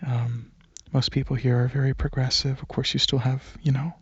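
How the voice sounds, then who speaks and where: A young man giving a soft-spoken, casual ASMR ramble, halting and hesitant, often slipping into breathy whispers. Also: mid-range voice, little pitch movement, dry recording, lo-fi sound. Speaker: ASMR video creator and channel host.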